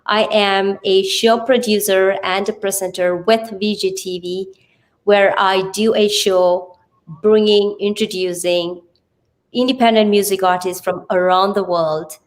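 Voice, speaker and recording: A woman's voice: medium pitch, fairly even intonation, a little slow. A woman with a Sri Lankan accent speaking earnestly as an interview guest, explaining things phrase by phrase. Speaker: podcast guest, TV presenter and radio host. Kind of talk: conversational interview self-introduction and explanation.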